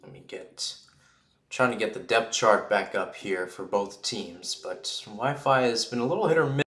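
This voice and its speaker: A man gives live radio-style football commentary in a mid-pitched, sharp and forceful voice. He is excitable on the action and casual between plays. The sound is noticeably roomy and echoey. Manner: excitable, calls surging plays loudly then drops back to casual asides. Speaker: play-by-play sports commentator. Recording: roomy echo on the voice.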